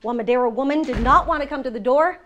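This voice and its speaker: Female news narrator, very high voice, faint noise behind. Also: fast talker, fairly bright tone. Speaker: TV news reporter.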